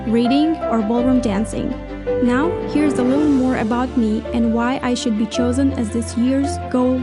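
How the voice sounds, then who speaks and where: A young woman from Moldova, introducing herself as a student in a friendly, scripted, upbeat video. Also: a little high. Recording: video with music playing under the voice. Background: background music.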